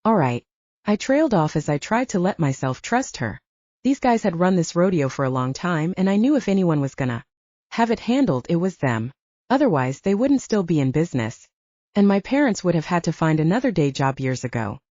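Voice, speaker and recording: A woman's voice: fairly low pitch, sing-song, fairly quick, mellow, dry recording, lo-fi sound. A woman reading a first-person audiobook story as its narrator. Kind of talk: first-person fiction narration with acted dialogue.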